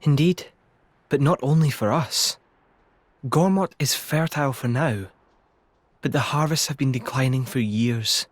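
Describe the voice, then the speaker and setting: Grave worried king man acting, husky mid voice, formal, dry game audio. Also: medium pitch, dry recording, natural voice. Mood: somber. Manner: formal, measured. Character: grave, thoughtful male monarch burdened with worry. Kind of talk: dubbed video game cutscene acting.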